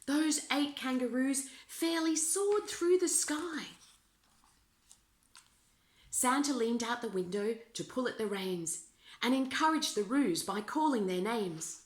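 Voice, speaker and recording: A woman reading rhyming children's verse aloud as an expressive storyteller. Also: high voice, slow pace. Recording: roomy, echoing indoor sound.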